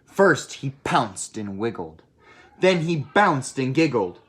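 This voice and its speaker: Expressive storybook reader with animated pitch. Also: slow, slightly rough. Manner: expressive, addresses young listeners directly. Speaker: teacher reading aloud to children. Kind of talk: friendly storybook read-aloud.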